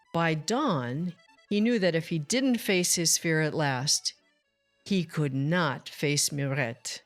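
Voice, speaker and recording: Casting: woman narrator for children's picture books, slightly low voice, very measured delivery, expressive, over music. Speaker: storytime host, children's book reader. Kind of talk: expressive scripted read-aloud narration for children.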